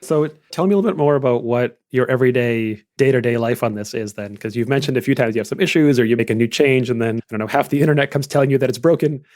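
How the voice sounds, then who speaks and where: A man's voice: mid-range voice, fast, few pauses, a little mellow, no echo, clean studio sound. A man hosting a podcast, asking questions in a curious, conversational way. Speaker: podcast host. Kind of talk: casual interview chat.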